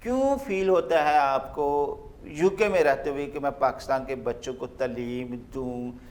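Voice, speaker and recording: A man's voice: mid-range voice, unhurried, a little mellow. A man with a Pakistani accent hosting a talk show interview, affirming his guest and mixing in English phrases.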